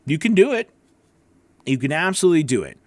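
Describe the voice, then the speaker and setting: A man's voice: on the high side, big pitch swings, brisk, darkish tone, no echo. A man hosting a casual livestream Q&A as a voice-over coach, rambling with many fillers and restarts.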